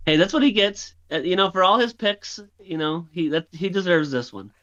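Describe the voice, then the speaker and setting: A man's voice: high voice, lively intonation, normal speed, fairly bright tone. A man co-hosting a podcast, chatting casually and telling joking anecdotes, on a dull lo-fi recording. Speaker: podcast co-host. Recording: dull, lo-fi sound.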